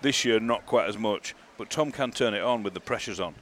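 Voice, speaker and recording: A man's voice: on the low side, a little fast, a bit bright, slight background noise. A man co-hosting a podcast, talking emphatically in casual chat.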